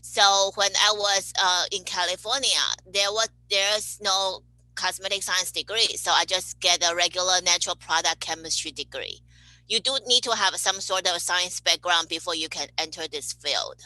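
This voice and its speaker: Bright-voiced woman guest. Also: lowish voice, moderate pace, no echo, poor audio. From Taiwan. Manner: cheerful, laughs between answers. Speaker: guest, cosmetic chemist. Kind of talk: podcast interview answers.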